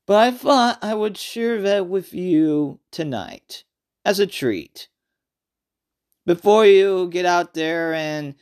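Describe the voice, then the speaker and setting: A man hosting a horror podcast alone, talking casually and haltingly, often repeating and restarting his phrases. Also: a little high, smooth. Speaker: podcast host and amateur horror writer. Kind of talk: casual solo podcast talk.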